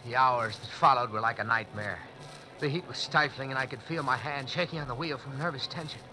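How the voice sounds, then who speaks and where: A man's voice: medium pitch, brisk, rough recording. A man narrating in the first person for a 1940s radio drama, tense and nervous. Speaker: narrator and lead character.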